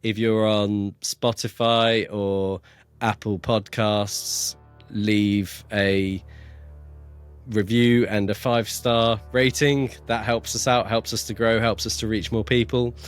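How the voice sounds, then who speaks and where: A man's voice: fairly low pitch, flat delivery, takes it slowly, clear, resonant tone, soft background music. A man co-hosting a book podcast in a casual, self-deprecating and slightly hesitant way. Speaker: podcast co-host.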